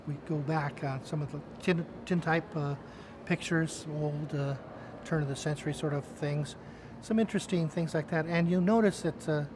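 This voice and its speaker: Guy in his 50s chatting in an interview, slightly high voice, halting, noisy background. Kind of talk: casual spoken reflection.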